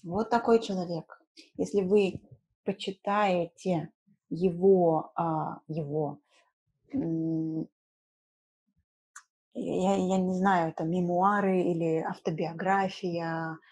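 Female language teacher, thirties, unhurried, mellow, slightly husky, simple repetitive explanations, informal. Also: lowish voice, fairly even intonation, rough recording. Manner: explains simply, repeating key words and checking with short tag questions. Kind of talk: informal lesson in simple Russian for learners. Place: small room indoors at home.

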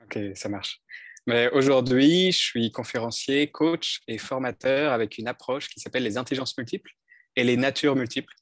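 A man's voice: mid-range voice, normal speed, fairly bright tone. A man in his thirties from northern France speaks as a coach recounting his past. He is thoughtful and hesitant, often restarting his sentences. His headset mic gives a close, dry sound with no room echo. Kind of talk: telling his life story in an interview. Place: bright indoor room. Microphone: headset on video call.

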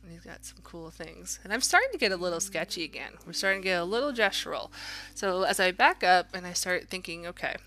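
A woman with a fairly low, bright voice narrates a drawing demo as an instructor. She talks casually and encouragingly, thinking aloud as she works, with a little creak in her tone. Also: big pitch swings, fast. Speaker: art instructor.

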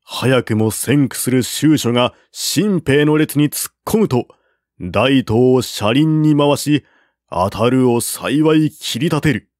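A man reading a classical war novel aloud as narrator, in a slow, measured way at a close mic. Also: mid-range voice, darkish tone, no room sound. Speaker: audiobook narrator.

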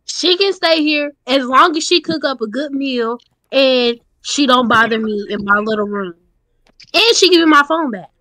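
A woman's voice: high-pitched, animated, normal speed, bright, forceful tone, dry recording, poor audio. A woman playing a hostile, sassy young housemate in an improvised online roleplay, blunt and confrontational. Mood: scornful.

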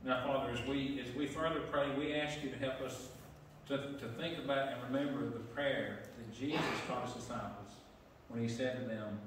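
A middle-aged man leading prayer as pastor, plain-spoken with some halting fillers, heard from a pulpit mic in a large church sanctuary. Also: mid-range voice, steady tone, noisy background. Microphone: pulpit mic, camera far back. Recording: echoey sanctuary from far off.

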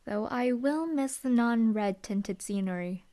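A woman's voice: a little high, takes it slowly, warm tone. A young woman voicing a dry, teasing female hellhound demon bodyguard in an audio roleplay. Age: young adult. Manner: dry, teasing, laid-back.

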